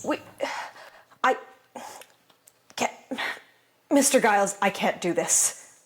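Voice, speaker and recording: A woman playing a jaded, rattled low-budget film director in an audio drama, blunt and wry but uneasy. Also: expressive, takes it slowly. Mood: shaken.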